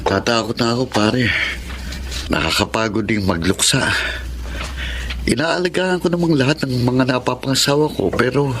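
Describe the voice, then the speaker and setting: A man playing a gentle, grieving widower in his thirties in a Filipino radio drama, with a Filipino accent. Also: medium pitch, moderate pace, pauses often, natural voice. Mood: weary. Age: 30s. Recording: noisy ambience under the voice.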